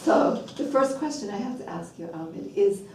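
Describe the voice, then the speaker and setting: A woman's voice: mid-range voice, expressive, normal speed. A woman film critic interviewing a guest, curious and affirming, often saying wow and okay. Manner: curious, warm, frequent affirmations. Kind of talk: interview conversation. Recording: roomy, distant, faint noise.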